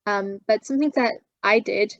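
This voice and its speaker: A woman's voice: medium pitch, dry recording, muffled recording. Thoughtful young woman, dry sound. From China. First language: Chinese. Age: young adult. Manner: measured, thoughtful, frequent um fillers. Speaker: guest. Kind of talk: interview answers.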